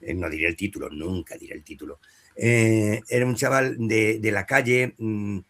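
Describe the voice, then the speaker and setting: Brief: middle-aged man, low voice, dubbing director at a panel, hesitant storytelling. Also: normal speed, fairly bright tone. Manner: anecdotal, many fillers and restarts. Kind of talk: panel talk at a professional meeting.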